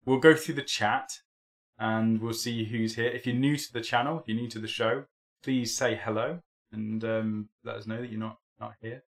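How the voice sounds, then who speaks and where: A man's voice: mid-range voice, fairly even intonation, moderate pace. A young man hosting a live hobby stream in casual, rambling chat, still a bit tired from a cold. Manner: rambling, chatty, many ums and restarts.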